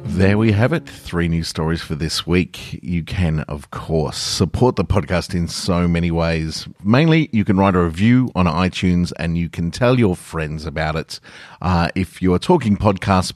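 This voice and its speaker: A man hosting a podcast, reading a short story slowly and reflectively and signing off casually. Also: deep voice, no room sound, studio quality. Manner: slow, quiet, reflective reading. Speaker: podcast host and story reader.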